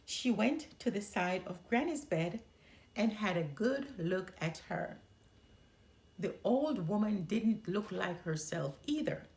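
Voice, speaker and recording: A woman narrating a children's story as a storyteller, expressive and deliberate. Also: medium pitch.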